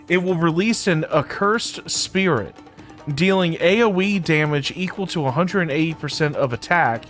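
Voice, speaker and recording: A man's voice: fairly high pitch, fairly bright tone. A man streaming a video game, chatting casually and informally, often repeating his phrases. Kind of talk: casual livestream commentary and chat.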